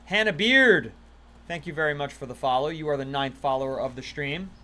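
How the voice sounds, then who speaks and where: A man's voice: a little high, lively intonation, brisk, bright, forceful tone, slight background noise. A man casually chatting on a gaming livestream, playful and talkative, thanking viewers as he plays. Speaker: livestream gamer.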